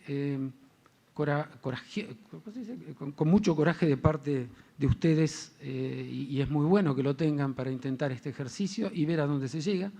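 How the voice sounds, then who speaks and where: A man's voice: fairly high pitch, vocal fry, mellow. A man speaking as a panelist at a formal panel, hesitant, with frequent fillers.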